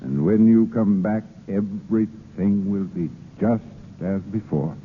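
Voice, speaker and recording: A man's voice: lowish voice, unhurried, lightly acted. An actor playing a lovestruck, commanding boss in his forties in an earnest old radio drama style. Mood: urgent, persuasive. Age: 40s. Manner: earnest, ardent. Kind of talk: 1930s radio drama acting. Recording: tinny, noisy old radio broadcast.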